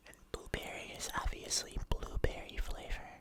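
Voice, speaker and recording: An ASMR host talking to viewers in a soft, unvoiced whisper, chatty, casual and unhurried, close to the microphone. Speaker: host of an ASMR eating video.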